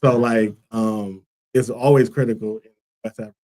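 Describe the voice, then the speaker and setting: A man's voice: on the low side, fairly even intonation, moderate pace, a little mellow. A man from Liberia, a guest on a talk show, who answers earnestly and thoughtfully with many fillers. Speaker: guest, school co-founder. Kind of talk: casual interview answers.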